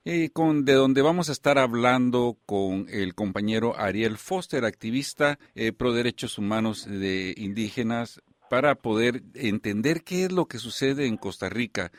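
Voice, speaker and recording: A man's voice: mid-range voice, lively intonation, a little slow, few pauses, no echo. Earnest community radio host, man. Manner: earnest, announcer-like delivery with frequent "eh" fillers. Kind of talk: radio show hosting.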